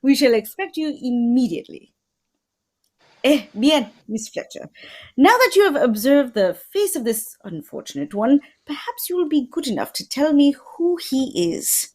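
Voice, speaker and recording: A formal, theatrical Belgian detective played by a woman in an old-time radio mystery. The French accent is courteous and fussy, and the high voice moves through lively rises and falls. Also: moderate pace. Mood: polite, self-important.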